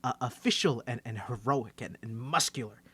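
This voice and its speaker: A man's voice: medium pitch, fairly bright tone, slightly rough, dry recording, voice pushed down. A man playing a nervous, bantering young man with a secret superhero identity, stammering through an ASMR roleplay to the listener. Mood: evasive, flustered. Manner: stammering, flustered, halting.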